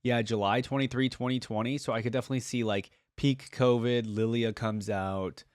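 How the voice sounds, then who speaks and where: A man's voice: medium pitch, steady tone, a little fast, dry recording, studio quality. A man giving casual reaction commentary as a video host, informal and musing as he thinks aloud. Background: music from the videos being played.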